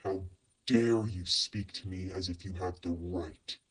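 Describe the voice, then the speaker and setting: A man's voice: sits low, steady tone, measured pace, slightly rough. A man playing a cold, menacing, possessive father in an acted fan fiction audio drama, icily polite and biting. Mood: icy, menacing.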